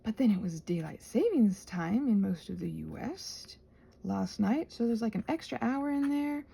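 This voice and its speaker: Animated, creaky-voiced woman rambling casually. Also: mid-range voice, normal speed. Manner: chatty, digressive, whimsical. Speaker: craft vlogger. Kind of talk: casual rambling chat while crafting.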